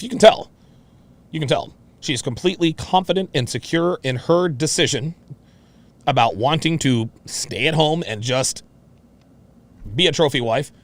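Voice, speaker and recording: Male commentary host, brash and foul-mouthed, higher-pitched voice, very expressive, mocking delivery. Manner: brash, mocking, profane, repetitive. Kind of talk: reaction commentary on a played clip.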